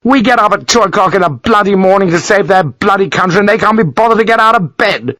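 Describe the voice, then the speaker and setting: A man's voice: high voice, steady tone, fast, a bit bright, dry recording, pitched above the performer's own voice. A man voicing a furious aristocratic British officer who rants and curses in a raised, strained voice. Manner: ranting, explosive, cursing.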